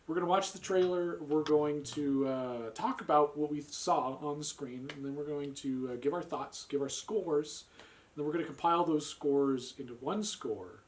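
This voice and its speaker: Guy hosting a reaction show, kinda high voice, bit of noise behind. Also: normal speed. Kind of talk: casual group reaction chat. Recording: noticeable background noise.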